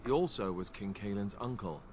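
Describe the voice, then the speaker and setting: An actor playing a self-deprecating young ex-Templar warrior in a video game, with dry, joking delivery. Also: male voice, lowish voice, animated, brisk, a little mellow, slight background noise, lightly acted. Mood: candid, reflective. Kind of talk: scripted video game dialogue.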